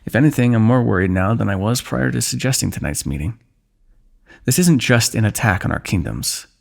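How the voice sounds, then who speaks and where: ASMR fantasy roleplay: male actor as a war-weary, dryly teasing fighter, deep-set voice with heavy creak, formal diction. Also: expressive, brisk. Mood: grave, worried. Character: weary, wry fantasy warrior plotting against a kingdom. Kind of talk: ASMR fantasy roleplay, one-sided dialogue.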